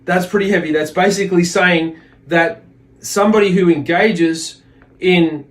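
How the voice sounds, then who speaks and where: A man giving a religious lesson as a teacher, speaking in a deliberate, explanatory way and repeating phrases for emphasis. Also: sits high. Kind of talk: religious lesson with readings from a text.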